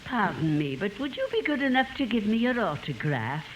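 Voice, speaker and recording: A woman's voice: mid-range voice, sing-song, brisk, natural voice. A woman playing a polite, formal lady admirer in a 1950s radio comedy. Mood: polite admiration. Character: polite lady autograph seeker, gushing admirer. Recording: dull, very noisy old radio recording.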